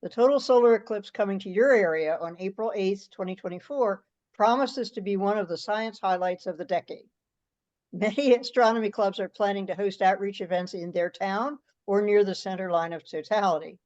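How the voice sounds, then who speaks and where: A woman's voice: mid-range voice, a little fast, a little mellow. A woman reading a draft aloud and talking it over in an online meeting, on conference-call audio. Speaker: meeting participant presenting a draft letter. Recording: slightly dull video call audio.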